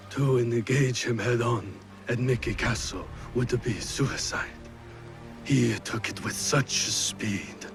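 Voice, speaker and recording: An actor playing a weary, stern veteran lord in a video game, with a deliberate, formal, questioning way of talking. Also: male voice, lowish voice. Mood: weary, grave. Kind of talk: video game cutscene acting.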